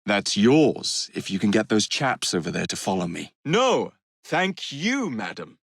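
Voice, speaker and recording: An actor with an English accent playing a cocky, roguish young Victorian gang leader in a video game, with a cheeky, wry, theatrical manner. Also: male voice, lowish voice, sing-song, measured pace, vocal fry, husky, no echo, clean studio sound, exaggerated voice. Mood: confident, wry.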